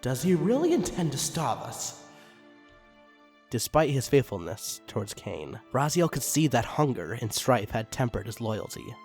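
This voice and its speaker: A man's voice: mid-range voice, very animated, unhurried, a little mellow. Male story narrator over music. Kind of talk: scripted dramatic fiction narration. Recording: background music under the reading.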